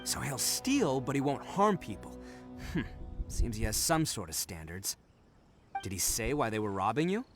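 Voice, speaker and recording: An actor playing a brash, big-hearted oni brawler in a video game, talking loudly and laughing often. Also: male voice, mid-range voice, very animated, normal speed, over music, natural voice. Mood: easygoing. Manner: loud, laughing, swaggering but earnest.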